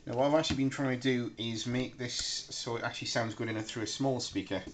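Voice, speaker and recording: A man giving a casual, halting demonstration at a workbench, recorded on a handheld camera. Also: medium pitch, steady tone. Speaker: hobbyist electronics builder presenting. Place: indoors at a cluttered workbench. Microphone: handheld camera microphone. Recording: noisy handheld camera in room.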